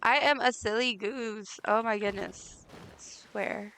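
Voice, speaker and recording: A woman with a raspy voice chatting casually while streaming a game, rambling with lots of ums and likes. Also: medium pitch, slow pace, a little hiss or hum. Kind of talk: casual live gameplay chat. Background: soft game music.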